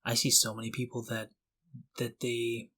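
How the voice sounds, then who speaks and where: A man's voice: mid-range voice, completely flat delivery, normal speed, sharp tone. A middle-aged man co-hosting a podcast, talking casually in an earnest, encouraging, motivational way. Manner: earnest, encouraging, poses questions to the listener. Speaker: podcast co-host.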